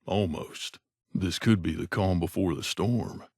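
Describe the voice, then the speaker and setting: An actor playing a wry, gruff mercenary companion in a video game, speaking in a conversational, grumbling way. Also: male voice, deep voice, unhurried, a little mellow, no room sound, clean studio sound, exaggerated voice. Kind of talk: game character voice lines.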